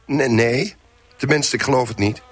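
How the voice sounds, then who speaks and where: A man's voice: mid-range voice, moderate pace, natural voice. An actor playing a hungover, bedraggled man who tells his misadventures in a wry, self-pitying way in a radio play. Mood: polite, eager. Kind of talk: first-person radio play narration.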